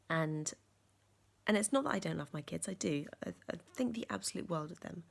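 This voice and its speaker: A woman, croaky from a sore chest, talking earnestly in a reflective vlog with many ums and restarts. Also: sits low. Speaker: vlogger.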